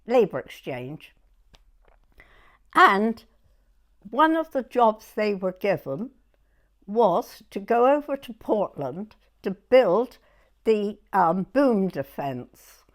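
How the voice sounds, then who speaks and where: An elderly woman in her nineties with a southern English accent. She speaks slowly at an ordinary pitch, reminiscing in a halting, conversational way and checking now and then that her listener follows. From Dorset, England. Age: 90s. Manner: halting storytelling, often checking the listener understands. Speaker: oral history interviewee.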